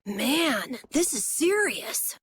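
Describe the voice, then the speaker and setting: Excitable anime game kid: tiny high voice, sharp and bright, boyish, exclamatory. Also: female voice, extremely slow, exaggerated voice. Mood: worried. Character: excitable, eager young boy, easily awed. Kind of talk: anime video game voice acting. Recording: background music behind the voice.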